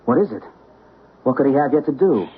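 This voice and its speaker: An actor playing an anxious, earnest homeowner in a 1970s radio drama. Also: male voice, mid-range voice, lively intonation, a little fast, slightly rough. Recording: tinny 1970s radio broadcast with faint noise.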